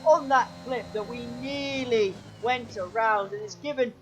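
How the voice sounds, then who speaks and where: High-voiced man with a sore throat. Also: measured pace, low-quality recording. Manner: excitable play-by-play, pitch jumps when overtaking. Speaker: gaming channel host. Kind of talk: racing game commentary.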